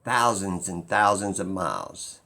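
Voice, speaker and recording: Slow-talking man, fairly low voice. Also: creaky, bright, forceful tone. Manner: halting, pausing mid-sentence, musing. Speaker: video host. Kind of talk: informal skeptical explainer monologue.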